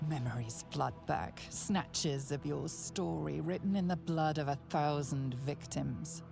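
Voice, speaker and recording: Low, gravelly, ominous game narrator. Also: female voice, over music. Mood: ominous, hushed. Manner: hushed, menacing, deliberate. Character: grave, ominous female narrator of dark fantasy. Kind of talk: scripted dramatic video game narration.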